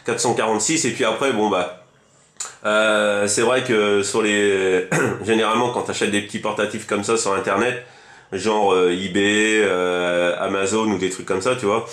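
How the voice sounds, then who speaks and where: A man's voice: fairly low pitch, level tone, moderate pace, a bit bright, reverberant. A man chatting casually as a radio hobbyist, rambling and enthusiastic, often repeating himself. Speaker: amateur CB radio operator.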